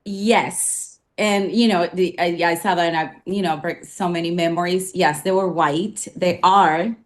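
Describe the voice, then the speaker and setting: A woman's voice: fairly low pitch, steady tone, unhurried. A woman with a Spanish accent, a former nanny, telling stories in a casual interview with many fillers. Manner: warm storytelling, many fillers.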